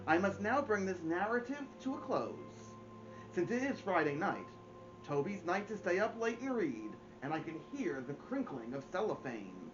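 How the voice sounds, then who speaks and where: A middle-aged man reading aloud to children as a story time host, with theatrical delivery and deliberate pauses. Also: sits high, sing-song, highs cut off, music in the background. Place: indoors, small home room.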